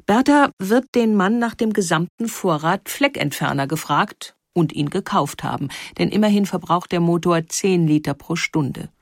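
A woman narrator reading a scripted documentary story in a measured, explanatory way. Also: on the low side, animated, no room sound, studio quality.

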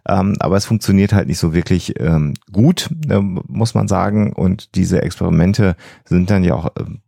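A man's voice: low voice, normal speed, vocal fry, dark tone, slightly rough, no room sound. A man explaining things conversationally as a podcast co-host, often repeating words for emphasis.

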